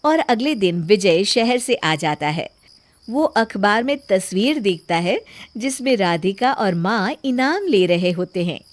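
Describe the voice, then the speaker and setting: A woman's voice: mid-range voice, animated, measured pace, dry recording. A woman reading scripted narration for a cartoon story as its storyteller.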